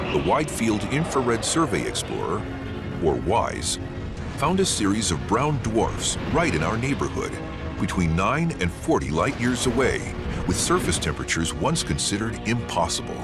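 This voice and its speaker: A man narrating a scripted science documentary in a dramatic, deliberate way with pointed pauses. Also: lowish voice, wildly swooping pitch. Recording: loud music bed under narration. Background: music.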